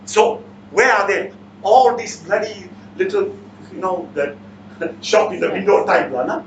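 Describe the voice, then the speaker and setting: Male seminar speaker, Malaysian or Singaporean accent, blunt and quizzing, higher-pitched voice, distant mic in an echoey room. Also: expressive, measured pace, gravelly, muffled recording, a little hiss or hum. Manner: blunt, interactive, repeatedly challenges listeners with questions. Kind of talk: motivational seminar talk, quizzing the audience.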